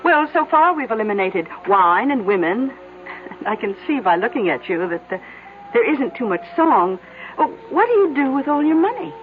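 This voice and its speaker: High-voiced, teasing small-town innkeeper. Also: female voice, very animated, normal speed. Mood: teasing, needling. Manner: folksy, chatty, needling. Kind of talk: old-time radio drama acting. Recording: tinny vintage radio recording, background music.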